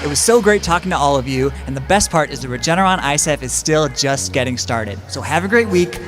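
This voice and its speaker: A man's voice: on the high side, animated, fairly quick. A man working as a roving reporter, presenting upbeat street interviews at a convention. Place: convention center. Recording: clear music bed behind voice.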